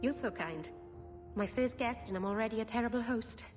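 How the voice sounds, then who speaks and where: Woman doing a shy young elf girl, highish voice, hesitant and apologetic, game music behind. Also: fairly quick, natural voice. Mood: grateful, flustered. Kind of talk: video game cutscene acting.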